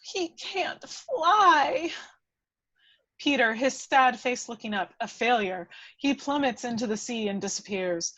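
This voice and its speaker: A woman with a fairly high voice narrates stage directions from a screenplay. Her delivery is scripted, describing the action as a table-read narrator. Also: moderate pace, few pauses, a bit bright.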